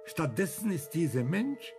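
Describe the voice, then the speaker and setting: An embittered older survivor in a radio crime play. His fairly high, creaky voice swings widely as he argues his principles emphatically, with faint music underneath. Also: sing-song, a little slow. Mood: agitated, arguing. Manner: emphatic, argumentative, rhetorical questions. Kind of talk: German radio crime drama acting.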